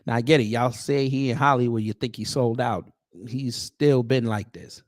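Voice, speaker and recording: A man with an American accent hosting off-the-cuff online commentary in a sarcastic, confrontational manner with pointed pauses. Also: mid-range voice, steady tone, no room sound, clean studio sound. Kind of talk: off-the-cuff opinion commentary, reading posts aloud.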